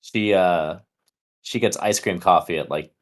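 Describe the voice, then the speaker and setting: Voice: sits low, unhurried. Someone chatting casually during an online tabletop roleplay session. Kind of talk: casual chat.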